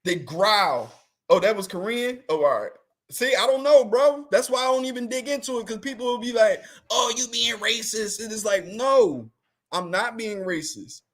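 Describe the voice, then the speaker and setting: A man's voice: high-pitched, animated, moderate pace. A man hosting a show, chatting casually and comically, slangy and rambling, often laughing. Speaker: host. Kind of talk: comedic casual chat.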